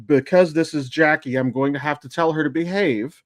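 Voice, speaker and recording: A man's voice: fairly high pitch, moderate pace, no echo, studio quality. A man hosting a podcast in casual, informal conversation, swearing freely. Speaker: podcast host. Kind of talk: casual chat.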